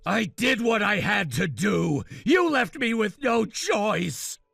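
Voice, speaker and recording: A frantic groom shouts and pleads in self-defense, as an actor voices him. The voice is high, gravelly and bright, with slow, heavy delivery. The sound is dry and close. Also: big pitch swings, no room sound, soft background music, exaggerated voice. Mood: angry. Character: desperate, angry young groom.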